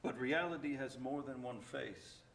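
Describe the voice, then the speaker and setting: A man's voice: mid-range voice, unhurried, gravelly, fairly bright tone. A man playing a weary, guarded immigrant father, terse and admonishing, in a staged play reading. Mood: weary, firm.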